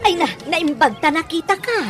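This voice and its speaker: Lively, insistent Ilocano neighbour. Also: female voice, very high voice, moderate pace, music in the background. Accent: Filipino Ilocano. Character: chatty, insistent female neighbour chasing a debt. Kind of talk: comedy radio drama acting.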